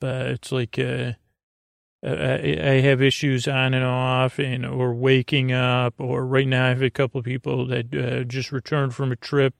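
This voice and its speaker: A man hosting a sleep podcast with a rambling, improvised monologue, halting and meandering through tangents with whimsical, gently silly wordplay. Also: medium pitch, creaky, dry recording, studio quality. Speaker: host of a bedtime sleep podcast.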